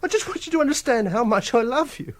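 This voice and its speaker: A man's voice: very high voice, expressive, quick pace, dry recording. An actor playing an awkward, repressed, obsessive young man with an English accent, hesitant and defensive in a radio drama. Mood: pleading, halting. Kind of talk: BBC radio drama acting.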